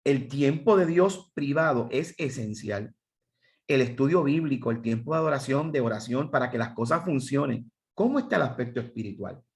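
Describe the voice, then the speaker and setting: A man's voice: medium pitch, animated, normal speed. A man with a Puerto Rican accent, teaching a seminar for pastors, counting off points and putting questions to his listeners. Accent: Puerto Rican Spanish. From Puerto Rico. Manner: emphatic, lists points and asks the audience questions.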